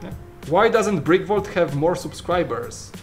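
A man's voice: sits high, animated, unhurried, faint music behind. A man in his thirties from Poland with a Polish accent, co-hosting a casual studio Q&A and joking as he answers viewer questions. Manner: joking, trails off mid-sentence. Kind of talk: casual Q&A chat. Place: large studio room full of shelves.

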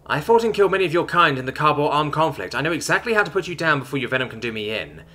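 A man's voice: a little high, sing-song, racing, pushed and bright, faint music behind, pitched above the performer's own voice, heavily acted. A man voicing a blunt, roguish human woman spacefarer with a casual, cocky, sweary manner in a story. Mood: firm.

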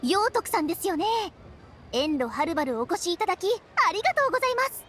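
An actress playing a polite, perky young newspaper reporter in an anime game. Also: female voice, very high-pitched, very animated, quick pace, fairly bright tone, faint music behind, exaggerated voice. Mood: cheerful, polite. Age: young adult.

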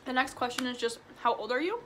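A woman's voice: high-pitched, expressive, quick pace, bright, forceful tone, a little hiss or hum. A twenty-year-old woman from Illinois chatting casually and eating while she answers viewer questions, with lots of "like" and "um". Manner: chatty, eats while talking, frequent "like" and "um". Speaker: vlogger. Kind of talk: casual mukbang Q&A chat. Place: small room indoors at home.

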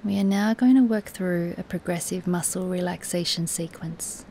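A woman teaching guided relaxation in a soothing, soft, instructive way, with breathy, near-whispered moments and long pauses. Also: fairly low pitch, slight background noise. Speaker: yoga nidra meditation teacher.

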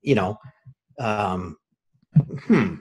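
A man's voice: fairly low pitch, animated, drawn-out words, breathy edge. A man, co-hosting a casual podcast chat over a video call, rambling and blunt with some casual swearing. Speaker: podcast co-host.

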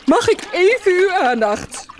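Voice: extremely high pitch, big pitch swings, measured pace, a little hiss or hum, exaggerated voice. An actor voicing a small, anxious helper who is easily alarmed, in a children's audio drama. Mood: anxious, polite. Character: Pietje Paniek, small panicky helper prone to alarm.

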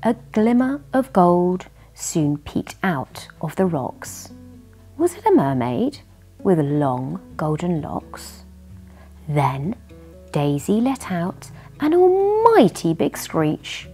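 A British woman in her thirties reading a storybook to young listeners in an animated way, indoors in a living room. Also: on the low side, husky. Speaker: children's story reader and host. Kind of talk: expressive children's storybook reading. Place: furnished living room by a fireplace.

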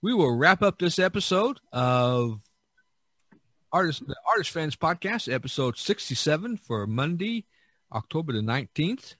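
A middle-aged or older man hosting a casual podcast, talking in a halting, rambling way with many pauses. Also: mid-range voice, dry recording. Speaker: podcast host.

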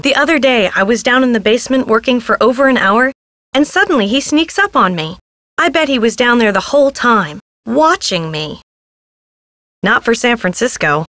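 Game innkeeper: affected mystic, dramatic and airy, higher register, dry studio sound. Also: female voice, a bit bright, dry recording. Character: theatrical mystical woman innkeeper devoted to the spirits. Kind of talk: video game character dialogue.

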